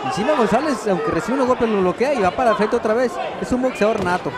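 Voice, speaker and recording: A man's voice: high voice, expressive, fast. A man giving color commentary on a live boxing fight, describing punches and cuts in detail. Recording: loud arena crowd and noise behind.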